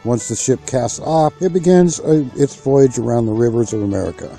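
A man reading a scripted documentary narration in a steady, factual way. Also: medium pitch, moderate pace, faint music behind. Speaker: narrator of a park history video.

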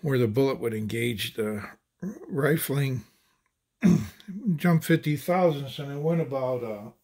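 A man casually demonstrating ammunition handloading at a table, talking in halting phrases with many fillers and restarts. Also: mid-range voice, darkish tone. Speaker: handloading channel host.

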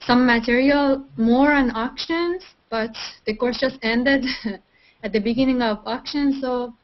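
Young woman from Iran on headset call. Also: fairly high pitch. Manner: lightly laughing, direct. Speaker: PhD student caller. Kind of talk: casual question on a video call. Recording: muffled video-call audio.

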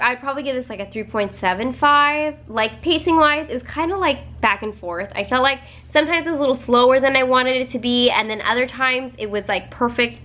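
A woman's voice: fairly high pitch, pushed and bright. A young woman chatting casually to camera at home about a book, congested from a cold, with lots of fillers and laughs. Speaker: book vlogger. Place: home living room, indoors.

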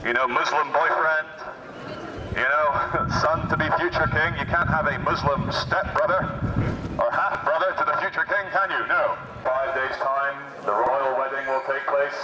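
Thirties male street satirist, megaphone, far off in an echoey street with loud traffic noise. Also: on the high side, fairly even intonation, normal speed. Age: 30s. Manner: mock-patriotic, sarcastic, declaiming. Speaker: street activist comedian. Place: busy city street outdoors. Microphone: megaphone, filmed by handheld camera.

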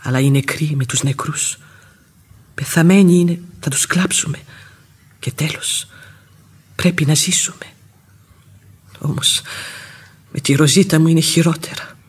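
An actress playing a devoted, outspoken housekeeper and old nursemaid in a radio play, husky-voiced and expressive. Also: female voice, low-pitched, pauses often, in a whisper. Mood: sorrowful, resolute. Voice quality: very husky, breathy. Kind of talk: classic radio theatre acting.

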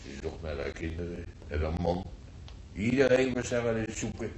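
Gruff old grandpa war vet reminiscing in a radio play, low voice, halting, roomy sound. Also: male voice, expressive, a little mellow, slight background noise. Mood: nostalgic. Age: older. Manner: halting, repetitive, earthy colloquial telling. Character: old Dutch grandfather, Spanish Civil War veteran, nostalgic, gruff. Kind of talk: radio drama acting, reminiscing monologue.

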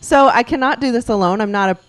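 A woman's voice: on the high side, fast talker, no echo, rough recording. Young woman, cooking-demo host on a handheld mic, bubbly and quizzing, dry close sound. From United States. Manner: bubbly, prompting with questions. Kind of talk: live cooking demonstration. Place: ship's show kitchen before an audience. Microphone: handheld microphone.

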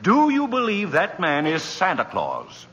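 An actor playing an exasperated, stubborn prosecutor in 1940s radio drama style. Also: male voice, sits high, wildly swooping pitch, a little slow, a bit bright, a little hiss or hum, exaggerated voice. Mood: indignant. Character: exasperated, stubborn male assistant district attorney. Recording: muffled, reverberant vintage radio broadcast.

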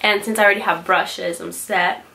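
Twenties woman vlogger, bright and slightly nasal from illness, rambling and self-correcting, recorded at home in a small bedroom. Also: medium pitch, steady tone, normal speed, creaky, poor audio. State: nasal from a cold. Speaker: beauty vlogger. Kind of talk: casual haul video chat. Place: small bright bedroom with louvered closet doors.

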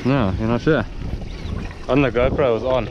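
A man's voice: mid-range voice, moderate pace, muffled recording. A young South African man in casual, offhand, slangy chat while out fishing. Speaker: recreational angler. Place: outdoors on a small open fishing boat at sea. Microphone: action camera on a boat. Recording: wind and boat engine noise.

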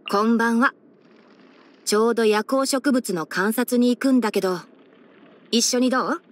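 A youthful fox-eared ranger boy from an anime game , voiced by a woman. The voice sits fairly high and boyish, with the matter-of-fact delivery of a helpful young scholar who explains things frankly and teases gently. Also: measured pace, long pauses, lightly acted. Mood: calm, frank. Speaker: character voice actor. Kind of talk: anime game character voice lines.

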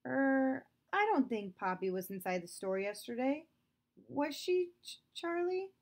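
A woman reading aloud, voicing an anxious son who hesitates and stammers, pitched higher than her narration. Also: expressive, moderate pace, dark tone. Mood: nervous. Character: anxious young son caught in a lie.

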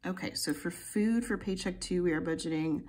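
A woman's voice: lowish voice, normal speed, darkish tone. A woman hosting a casual budget vlog, chatty and playful with slang, sounding groggy in the morning. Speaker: budgeting channel host. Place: home filming room, desk seen from above.

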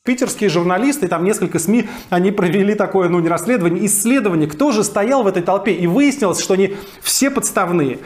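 A man explaining findings in a conversational way, pausing to find his words. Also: sits high, lively intonation. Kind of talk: explanatory commentary.

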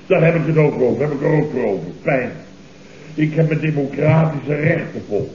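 An actor playing a blustering, easily offended and muddled boss in a comic radio play, pompous and repetitive. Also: male voice, fairly high pitch, moderate pace, a little mellow, a little hiss or hum, natural voice. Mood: indignant, demanding. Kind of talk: comic radio play acting, around 1970. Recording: muffled, roomy vintage radio recording.

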